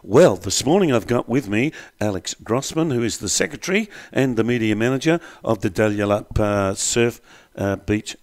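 A man's voice: fairly low pitch, normal speed. A man hosting a local radio show, chatting casually and reading out sponsor ads. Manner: chatty, reads ads in a relaxed way.

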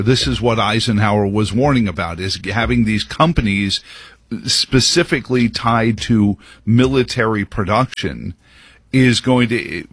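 Husky low-voiced talk radio host. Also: male voice, fairly bright tone, no echo. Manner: rambling, many fillers and restarts. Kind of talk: opinionated talk radio monologue.

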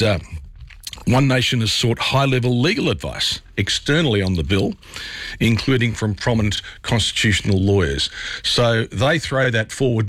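A man's voice: on the low side, fairly bright tone, no room sound. A man with an Australian accent hosting an evening talk radio show, his voice slightly scratchy from a chest cold, talking listeners through the news in a conversational way. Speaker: radio host. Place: radio studio.

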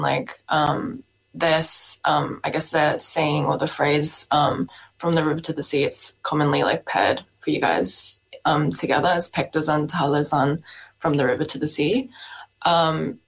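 A woman's voice: deep voice, gravelly, poor audio. A woman interviewer asking casual questions, hesitating often with um and like. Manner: hesitant, many ums and likes.

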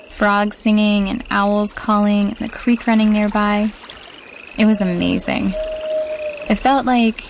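A young woman telling a childhood memory in a relaxed, casual way with fillers. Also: medium pitch, a little hiss or hum. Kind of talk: scripted ad testimonial.

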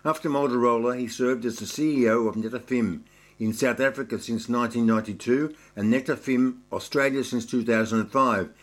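A man's voice: medium pitch, fairly even intonation. Male host reading a formal introduction. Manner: brisk, formal read-through. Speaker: radio show host. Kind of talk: scripted introduction read aloud.

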